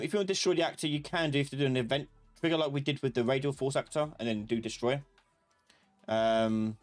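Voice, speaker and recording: Man giving a casual live tutorial, music behind. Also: mid-range voice. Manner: informal, loose step-by-step explaining. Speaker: instructor of a live software class. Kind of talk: casual live tutorial, thinking aloud while demonstrating.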